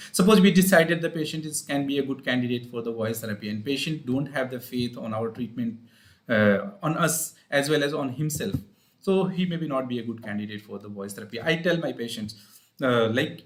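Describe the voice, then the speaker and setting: A man with an Indian accent giving an informal live explanatory talk as a speech therapist, in a halting, repetitive, teacherly manner. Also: medium pitch. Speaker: speech-language pathologist hosting an advice livestream.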